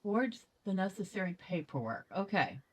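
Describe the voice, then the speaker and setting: A woman's voice: mid-range voice, sing-song, moderate pace. A woman giving casual game commentary, chatty and playful as she thinks aloud. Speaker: gaming video host.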